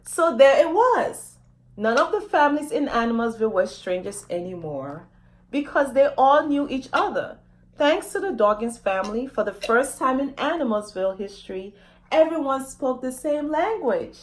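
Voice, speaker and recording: Animated, high-voiced woman reading to children. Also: a little hiss or hum. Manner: dramatic, often asks listeners questions. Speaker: author reading her children's book. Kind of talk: expressive storytime reading addressed to children.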